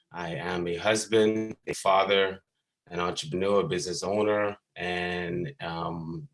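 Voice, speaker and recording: Deep gravelly man, halting speech. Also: low-quality recording. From Bahamas. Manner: hesitant, many ums and restarts. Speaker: basketball skills coach. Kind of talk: casual interview over video call.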